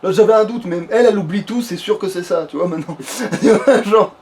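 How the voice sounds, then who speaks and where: A man talks through a let's play. He rambles in casual, slangy, joking speech. Background noise sits clearly under his voice. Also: high voice, a little fast. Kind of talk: casual gaming chat. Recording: noisy home recording, steady background noise.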